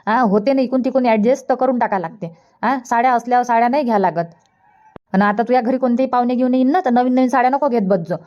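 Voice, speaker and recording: A woman's voice: a little high, quick pace, a little mellow, close to an everyday voice. A woman voicing a thrifty, practical older village mother in a cartoon comedy, persistently advising in Vidarbha Marathi. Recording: dull lo-fi cartoon dub.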